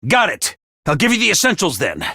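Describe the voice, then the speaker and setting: A very high, bright little mascot creature, cheery over the announcement system but sly and cold underneath. Its pitch jumps around a lot. The studio recording is close and dry. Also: male voice, huge pitch swings, brisk, creaky, dry recording, heavily acted. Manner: cheery announcer voice turning snide and callous. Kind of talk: video game voice lines.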